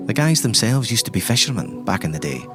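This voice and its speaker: A man's voice: on the low side, very animated, fast, over music. A man playing a shifty young property schemer in an improvised audio drama, confiding and defensive. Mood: reluctant, confiding.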